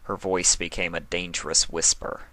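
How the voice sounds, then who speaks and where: A man reading scripted story narration as the narrator, in a measured, dramatic manner. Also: low-pitched, flat delivery, background noise.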